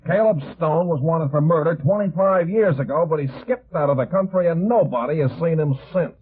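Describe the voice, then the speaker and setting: An actor playing a gruff, impatient police lieutenant in a 1950s radio detective drama, plain-spoken and insistent. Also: male voice, a little high, a little fast. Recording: thin phone-like vintage radio recording.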